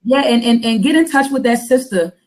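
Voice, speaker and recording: A woman hosting a casual talk show, her deep voice raspy and hoarse after coughing, repeating phrases for emphasis. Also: lively intonation, brisk. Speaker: host.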